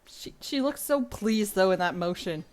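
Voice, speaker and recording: A woman's voice: mid-range voice, animated, moderate pace. A woman livestreaming a video game with casual, off-the-cuff commentary.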